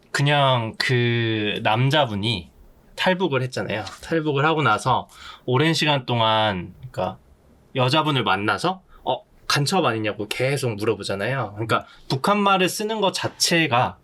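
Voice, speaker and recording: A man on a podcast panel, talking casually in a reflective, halting manner. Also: mid-range voice, expressive, fairly bright tone.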